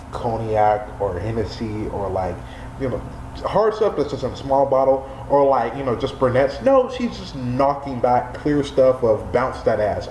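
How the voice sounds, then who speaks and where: A man's voice: mid-range voice, a little mellow. A man in his twenties with an American accent, telling a comedic story casually with exaggerated, profane delivery. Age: 20s. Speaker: storyteller vlogger. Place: small room indoors, on a couch. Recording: roomy echo, faint background noise.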